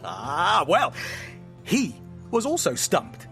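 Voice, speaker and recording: Theatrical storyteller friend, big lively swings, hammy and teasing, audio drama w music under. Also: mid-range voice, takes it slowly, exaggerated voice. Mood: mock-grand. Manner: grandiose, teasing, melodramatic narration. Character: playful, theatrical storyteller friend spinning a myth at home.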